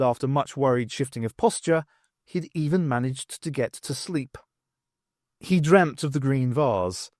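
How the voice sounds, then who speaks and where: A man reading a science fiction novel aloud as scripted, expressive audiobook narration. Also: mid-range voice, no echo, studio quality. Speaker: audiobook reader.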